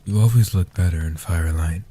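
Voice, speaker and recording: A man playing a centuries-old guardian vampire, gentle and protective, speaking softly and huskily in hushed, slow phrases for a comfort roleplay audio. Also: deep voice. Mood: gentle, reassuring. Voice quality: soft-spoken, husky. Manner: slow, hushed delivery with long pauses.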